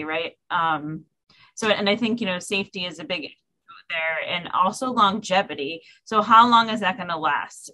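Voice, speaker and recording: Hesitant woman researcher, lowish voice. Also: pushed and bright, low-quality recording. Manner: hesitant, many fillers and restarts. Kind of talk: answering questions on a webinar. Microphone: video call.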